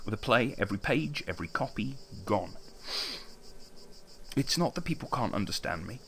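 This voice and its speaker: Anguished young writer: sarcastic, self-lacerating, animated delivery, audio drama. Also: male voice, medium pitch, a little hiss or hum. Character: anguished, bitter young playwright in love. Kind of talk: naturalistic audio drama acting.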